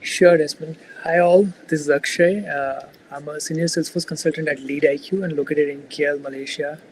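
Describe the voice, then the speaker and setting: A man introduces himself on a video call with a fairly high voice. Faint room ambience sits behind him. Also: fairly even intonation, a little fast, slight background noise, low-quality recording. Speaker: senior consultant. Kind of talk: casual self-introduction.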